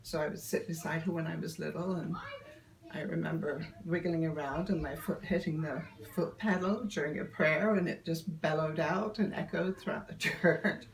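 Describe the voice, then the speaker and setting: An older woman, telling stories in a relaxed interview, often laughing and pausing with ums. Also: low voice, low-quality recording. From Yorkshire, England. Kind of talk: casual storytelling interview.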